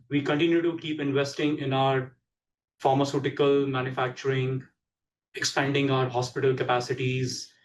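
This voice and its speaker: Male executive for an earnings call, measured and monotone, recorded far from the mic. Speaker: company executive. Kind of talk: prepared business presentation on an earnings call. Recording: distant mic, dull sound, low rumbling noise.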